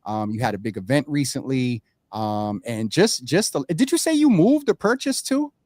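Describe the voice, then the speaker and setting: A man's voice: mid-range voice, very animated, moderate pace, darkish tone, no echo. A man hosting a live morning show with casual, teasing banter, often repeating himself and talking over his guest.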